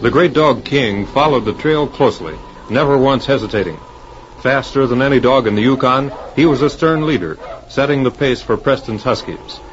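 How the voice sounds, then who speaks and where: A man's voice: medium pitch, moderate pace. A man narrating an old adventure serial in a dramatic, scripted 1940s radio announcer style. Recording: dull old radio recording.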